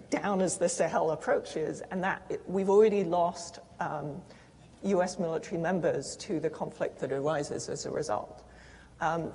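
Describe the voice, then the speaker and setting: Husky woman panelist in a reverberant hall. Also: fairly low pitch, a little mellow. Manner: earnest, explanatory, with fillers and restarts. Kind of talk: panel discussion answer. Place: conference hall.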